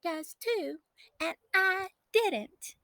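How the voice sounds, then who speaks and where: A woman's voice: very high-pitched, animated, very slow, bright, forceful tone, no room sound, voice pushed up, heavily acted. A woman voicing a smug, taunting little country sister from a children's storybook, snippy, teasing and sing-song.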